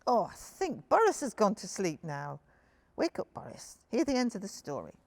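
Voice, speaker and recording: A woman's voice: mid-range voice, huge pitch swings, normal speed, creaky, a little mellow. A woman storyteller reading a picture book expressively to young children, addressing them directly.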